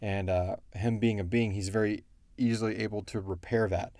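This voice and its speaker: Low, mellow-voiced man in casual, rambling chat. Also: fairly even intonation, moderate pace. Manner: rambling, quotes characters in brief asides. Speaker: show creator answering listener questions. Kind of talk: casual chat, explaining story lore.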